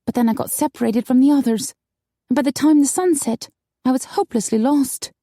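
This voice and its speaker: Audiobook casting: woman performer as sweet, wide-eyed young vampire woman, high girlish voice, emotional, very dry sound. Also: brisk, warm tone, dry recording. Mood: tipsy, confiding. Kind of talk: audiobook character acting.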